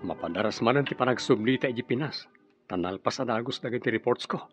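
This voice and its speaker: A man's voice: mid-range voice, quick pace, muffled recording, music in the background, lightly acted. An Ilocano-accented man playing an easygoing family man in a radio drama. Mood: breezy.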